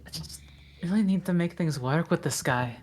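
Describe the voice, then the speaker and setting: A woman's voice: fairly low pitch, normal speed, darkish tone, slight background noise, natural voice. A woman reading the part of a grumpy but decent male ogre in a table read, with a grumbling, exasperated delivery. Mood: earnest.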